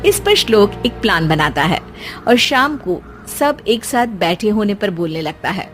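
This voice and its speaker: A woman's voice: medium pitch, animated, unhurried, soft background music. A woman reading scripted story narration as the narrator.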